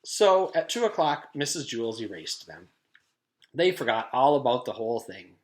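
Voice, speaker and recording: A man's voice: mid-range voice, sing-song, normal speed. A man reading a children's book aloud in the style of a teacher's storytime, narrating expressively.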